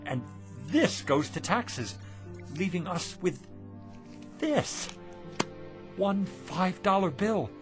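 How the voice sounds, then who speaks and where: An actor playing a volatile, struggling shopkeeper husband in a radio drama, with an emphatic way of talking. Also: male voice, high-pitched, big pitch swings, slow, long pauses, music in the background, full character voice. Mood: dismayed. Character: excitable, hot-tempered husband, struggling shopkeeper.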